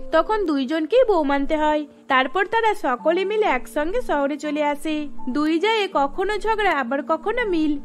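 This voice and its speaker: A woman narrating a scripted cartoon story. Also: extremely high pitch.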